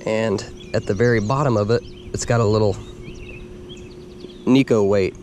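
A tired young man from Indiana, hosting a fishing vlog from a kayak on a river, talking excitedly to a body camera as he fishes and losing his voice a little. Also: lowish voice, moderate pace. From Indiana, USA. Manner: excited, rambling, talks to camera while fishing. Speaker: fishing vlogger. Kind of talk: casual on-the-water vlog. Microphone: action camera on head or chest. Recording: outdoor action-cam audio with noise.

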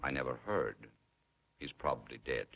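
Casting: weary middle-aged political prisoner, extremely low husky voice, restrained delivery, vintage film drama. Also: male voice, normal speed, full character voice. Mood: guarded, grave. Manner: restrained, terse, dignified. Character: weary, guarded middle-aged Polish underground leader held prisoner. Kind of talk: 1950s film acting. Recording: dull 1950s film soundtrack.

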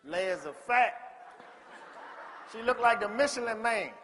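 Man acting a brash high-pitched young guy, echoey hall. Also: expressive, a little slow, fairly bright tone. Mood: mocking. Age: young adult. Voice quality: slangy, casual delivery. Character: brash, joking, grumbling young man at a diner. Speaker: stage actor. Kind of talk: comedic stage play acting. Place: large theater stage before an audience. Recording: echoey hall, noisy audience, background hiss.

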